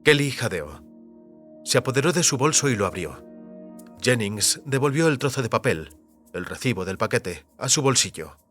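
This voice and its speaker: A man's voice: mid-range voice, very animated, moderate pace, a bit bright, faint music behind. A man reading scripted literary narration as the narrator of an audio fiction story.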